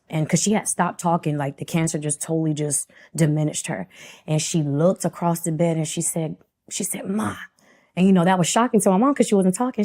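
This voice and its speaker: A woman's voice: low voice, warm tone. A woman in her thirties speaking as an interview guest at a church, giving earnest, devout personal testimony in a conversational way. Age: 30s.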